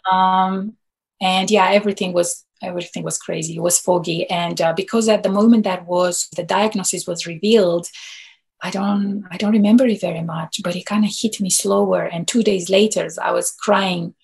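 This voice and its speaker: A woman from Romania, a native Romanian speaker, telling a personal story in an interview, halting and restarting often. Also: on the low side, fairly even intonation, gravelly, darkish tone, breathy edge. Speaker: guest.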